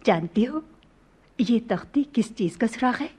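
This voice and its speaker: A woman's voice: a little high, animated, unhurried, warm tone, breathy edge, heavily acted. A woman playing a princess who rules in men's clothing, commanding yet tender, with formal courtly delivery in a radio play. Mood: hushed, intent. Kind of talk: Hindi radio play acting.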